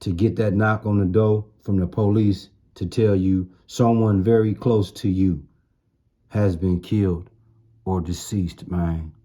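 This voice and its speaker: Deep, dark-voiced man preaching informally. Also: steady tone, unhurried. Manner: blunt, emphatic, repeats phrases for emphasis, streetwise slang. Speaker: street-style preacher. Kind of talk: informal sermon, exhortation.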